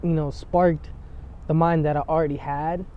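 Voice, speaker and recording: Laid-back young rapper, muffled outdoor audio. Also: male voice, a little high, little pitch movement, normal speed, smooth. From San Diego, USA. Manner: laid-back, rambling, heavy on filler words. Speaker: rapper guest. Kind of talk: casual interview chat.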